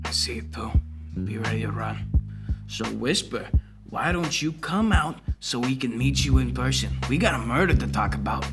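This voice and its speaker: A man's voice: medium pitch, animated, moderate pace, close to an everyday voice. A man playing a tough, protective private detective in an old-time radio drama, with terse hard-boiled delivery. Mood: guarded. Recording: loud music and effects behind.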